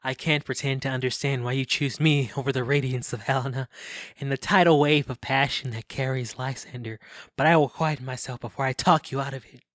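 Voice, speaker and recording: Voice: mid-range voice, fairly even intonation, fairly quick, a bit bright, no echo, studio quality, close to an everyday voice. An actor playing an earnest, lovestruck young romantic who speaks in florid, gallant verse in a modern Shakespearean audio drama. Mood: devoted, earnest. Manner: florid, ardent poetic declarations.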